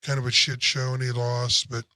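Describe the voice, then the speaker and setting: Male co-host, deep throaty voice with a forceful edge, blunt opinions, dry booth. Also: completely flat delivery, moderate pace, dry recording. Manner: blunt, opinionated, curses casually. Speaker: sports podcast co-host. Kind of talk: casual sports chat.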